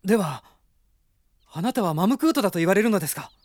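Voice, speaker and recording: Courteous young prince, expressive voice. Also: male voice, high voice, fast, no room sound, clean studio sound. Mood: polite. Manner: polite, composed. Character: courteous, earnest young prince, leader of men. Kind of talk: Japanese radio drama acting.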